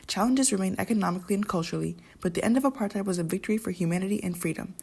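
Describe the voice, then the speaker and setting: A woman's voice: mid-range voice, very fast, vocal fry, a little mellow. A young adult woman reading a scripted educational narration as the narrator, recorded in an echoey room.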